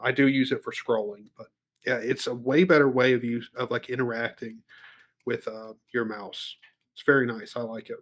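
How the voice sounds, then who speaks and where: A man's voice: mid-range voice, fairly even intonation, moderate pace, a bit bright. Eager man in casual tech chat. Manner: eager, repeats words, many fillers. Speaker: podcast co-host.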